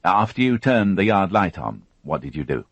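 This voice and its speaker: Man reading a calm police detective, steady questions, lively pitch, dry sound. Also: normal speed, slightly rough, no room sound, full character voice. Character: patient, gentle male police detective.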